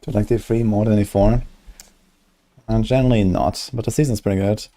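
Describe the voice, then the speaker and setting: Low-voiced man musing over a game. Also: steady tone, fairly quick, warm tone. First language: German. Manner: musing, calculating aloud. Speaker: streamer. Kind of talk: casual gameplay commentary.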